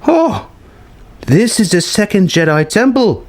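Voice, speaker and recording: A man's voice: high-pitched, very animated, measured pace, pitched above the performer's own voice, full character voice. A man voicing an eager, awestruck young woman apprentice in a told story. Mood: amazed.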